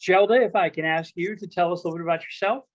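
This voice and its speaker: A woman's voice: lowish voice, very fast. A woman hosting an online play reading, introducing participants and scenes in a courteous, theatrical manner over dull call audio.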